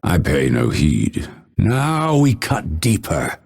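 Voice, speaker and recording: Low, gravelly brooding assassin. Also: male voice, huge pitch swings, a little mellow, heavily acted. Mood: grim, controlled. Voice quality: husky, gravelly. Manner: measured, solemn, dramatic delivery. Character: brooding male assassin, grim, vengeful, fatalistic. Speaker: video game voice actor. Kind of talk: scripted game character voice lines.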